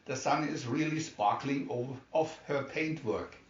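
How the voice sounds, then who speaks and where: Man playing an excited reporter in an echoey room. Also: mid-range voice, a little slow, lightly acted. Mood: excited, awed. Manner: exclamatory, awestruck commentary. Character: excited male broadcast reporter announcing a spectacle. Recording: roomy, distant, slightly dull home recording.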